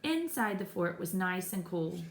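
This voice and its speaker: A woman reading a children's picture book aloud expressively, pausing to explain the pictures to her listeners. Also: lowish voice, big pitch swings, vocal fry. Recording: echoey room.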